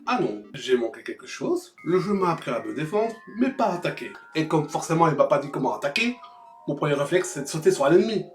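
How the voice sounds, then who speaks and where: A man's voice: fairly high pitch, sing-song, quick pace. A man giving humorous scripted video game review narration, sarcastic and foul-mouthed. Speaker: host of a retro video game review show. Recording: roomy echo, music behind.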